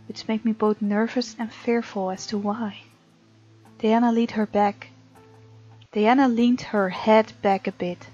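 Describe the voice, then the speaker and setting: A woman gamer reading visual novel narration aloud in an expressive, informal way, with casual commentary of her own. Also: on the high side. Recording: muffled mic, game music behind. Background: game music.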